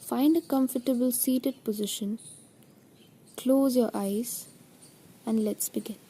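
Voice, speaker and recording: A woman with a fairly high, very breathy voice leads a guided meditation. Her tone is dark and relaxed, soft enough to trail toward a whisper. She speaks gently and very slowly, in a soothing, deliberate manner.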